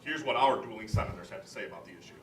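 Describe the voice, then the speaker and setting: A man's voice: lowish voice, racing. A young man presenting at a student readers theater event in a roomy space. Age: young adult. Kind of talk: live readers theater introduction and reading. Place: college library event room. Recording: roomy, distant mic, loud noise.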